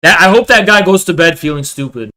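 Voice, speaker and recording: Brief: high-voiced bright male streamer, profane ranting commentary. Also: lively intonation, quick pace. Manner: ranting, profane, repetitive mocking. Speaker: streamer reacting.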